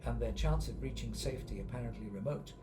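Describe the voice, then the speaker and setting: A man's voice: mid-range voice, quick pace, background noise. A man reading a first-person expedition account as scripted narration.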